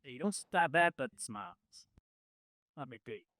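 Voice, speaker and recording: A man's voice: mid-range voice, lively intonation, moderate pace, bright, forceful tone. A man with a mid-range voice chats casually as a podcast host in an offhand manner. His voice sounds dry and close, with no room echo. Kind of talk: casual chat. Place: podcast studio.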